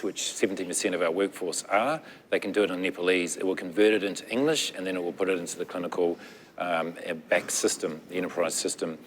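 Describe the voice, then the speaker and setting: A man with a New Zealand accent speaks low and easy on a conference stage, walking through an example with the odd restart. His voice carries a long hall reverb. A faint murmur of the room sits behind him. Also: a bit bright, a little hiss or hum. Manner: conversational, explains with examples, some restarts. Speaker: nonprofit executive on a panel. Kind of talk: conference panel answer.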